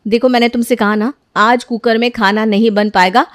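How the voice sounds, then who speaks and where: A woman voicing a careless, defensive young wife in an animated story. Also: fairly high pitch, normal speed, no room sound, clean studio sound, close to an everyday voice. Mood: defiant. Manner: defensive, argumentative.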